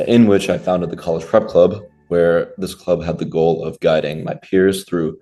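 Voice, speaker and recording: A man's voice: low-pitched, steady tone, moderate pace, mellow, soft background music. A young man from Denver who grew up speaking Russian at home, a college student introducing himself earnestly in a webinar. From Denver, Colorado, USA. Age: young adult.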